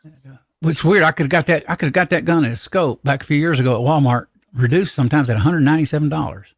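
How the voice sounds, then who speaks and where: A man hosting a casual livestream chat, talking in a rambling, halting, folksy way. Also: on the high side, no room sound, clean studio sound. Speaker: livestream host.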